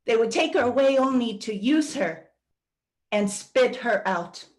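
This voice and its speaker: A woman reading a first-person monologue aloud as a guest reader, with a deliberate, earnest storytelling delivery. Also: a little high, expressive, darkish tone.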